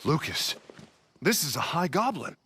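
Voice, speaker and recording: An actor playing an earnest, learned explorer in a video game, with an urgent manner. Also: male voice, on the high side, sing-song, measured pace, a bit bright, slightly rough, heavily acted. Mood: amazed. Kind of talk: video game cutscene voice acting.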